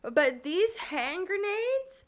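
A woman's voice: very high voice, sing-song, very slow. A young woman vlogging casually on a trip, giddy and chatty, filling her talk with "like" and "literally". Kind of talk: casual travel vlog.

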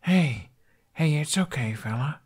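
A man voicing a gentle, calm young man who soothes animals in a soft murmur, in an audiobook. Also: on the high side, unhurried, breathy edge, pitched above the performer's own voice. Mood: soothing.

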